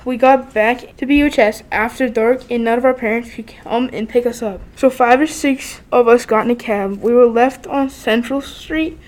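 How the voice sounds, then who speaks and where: A woman's voice: on the high side, fairly even intonation, moderate pace, hardly any pauses, a little mellow. A teenage girl, a student, reading written memories aloud in a plain way. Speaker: student reader.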